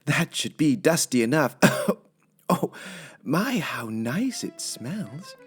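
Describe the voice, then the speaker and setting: A man's voice: fairly high pitch, very animated, husky, soft background music, pitched above the performer's own voice, heavily acted. A man voicing a cheerful, naive woman housekeeper in a children's storybook, earnest and literal-minded, musing aloud in a folksy way. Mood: delighted. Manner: slow, exclaiming, folksy musing.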